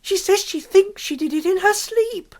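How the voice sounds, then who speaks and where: A man's voice: extremely high pitch, normal speed, voice pushed up. A man voicing a frantic, terrified young woman in an audiobook story, breathless, urgent and pleading. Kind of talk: dramatic audiobook character voice.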